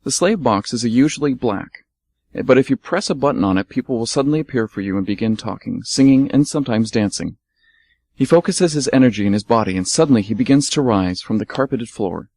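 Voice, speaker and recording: Male narrator, steady and measured, mid pitch, dry close recording. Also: dry recording. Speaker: radio drama narrator. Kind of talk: scripted radio play narration.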